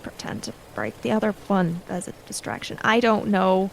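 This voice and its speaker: A woman playing an anxious, hesitant and loyal young female thief in a tabletop game, with halting delivery. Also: medium pitch, sing-song, gravelly, a little hiss or hum, poor audio. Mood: worried, pleading.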